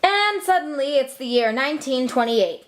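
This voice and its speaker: Lively high-voiced young woman narrator. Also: normal speed. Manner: flippant, casual. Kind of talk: comic scripted storytelling.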